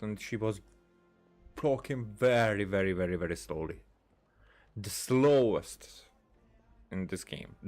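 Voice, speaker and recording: A man with a fairly low voice hosts a casual gaming video. He muses aloud haltingly, pausing as he comments on the game. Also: a little mellow. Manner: hesitant, halting musing aloud. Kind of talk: casual let's-play commentary.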